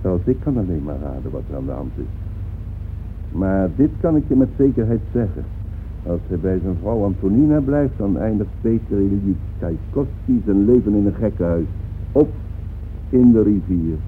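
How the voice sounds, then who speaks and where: An actor plays a worldly gentleman in a radio drama. His voice is fairly low, with measured, theatrical phrasing. The recording is old and tinny, with a faint layer of noise. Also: lively intonation, lightly acted. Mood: grave. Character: worldly Russian gentleman musician's brother, urbane then grave. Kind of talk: radio play dialogue.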